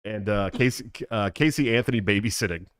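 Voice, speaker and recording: A man in his thirties hosting a casual podcast, telling a story with many ums, likes and pauses to get around a mild stutter. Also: on the low side, clear, resonant tone, dry recording, clean studio sound. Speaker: podcast host.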